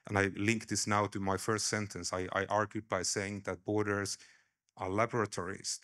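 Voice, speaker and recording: Male academic panelist, Finnish accent, fairly low pitch, reflective and halting conference delivery with informal asides. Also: fairly bright tone. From eastern Finland. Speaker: academic panelist, border studies researcher. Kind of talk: conference talk to an audience.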